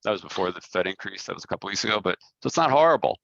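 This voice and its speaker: A man talking informally on a business conference call, halting as he repeats and rephrases his words. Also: low-pitched, lively intonation, creaky, fairly bright tone, no echo, dull audio. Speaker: company head answering investor questions.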